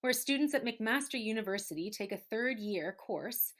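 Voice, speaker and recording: A woman's voice: on the high side, expressive, moderate pace, a bit bright. A woman presenting a show in an informal, conversational style as its host.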